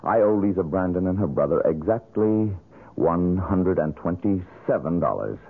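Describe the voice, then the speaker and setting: An actor playing a bitter, haunted painter with a husky voice in a 1970s radio drama. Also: male voice, deep voice, lively intonation, moderate pace, soft background music, heavily acted. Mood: bitter, curt. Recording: tinny old radio recording.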